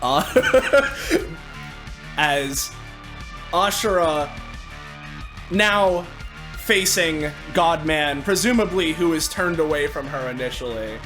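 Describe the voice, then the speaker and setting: A man with a fairly high voice narrates his moves as a tabletop game player. He feels his way through phrases haltingly and casually, with clear music underneath. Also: expressive. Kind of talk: improvised game narration and rules talk. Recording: loud music behind the voice.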